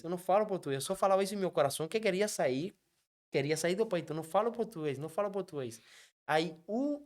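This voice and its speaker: A man from Cuba, a native Spanish speaker with a Cuban accent, telling a story in a casual interview and slipping Spanish words into his Portuguese. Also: high voice. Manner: animated, quick, mixes Spanish words into Portuguese. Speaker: guest, app driver.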